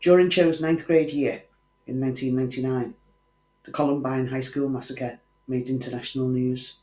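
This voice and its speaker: A man's voice: mid-range voice, fairly even intonation, normal speed, dark tone. Wry man narrating true crime. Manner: wry, conversational asides to the viewer. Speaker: true crime channel host. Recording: echoey room.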